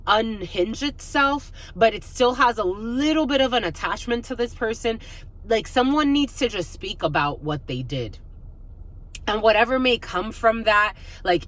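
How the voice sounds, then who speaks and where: Casting: female spiritual reader, medium-pitched voice, improvised and casual, rambling, circles back over phrases. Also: a bit bright. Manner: rambling, frequent "like", repeats phrases. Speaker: spiritual reader hosting online readings. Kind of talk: improvised intuitive psychic reading, casual.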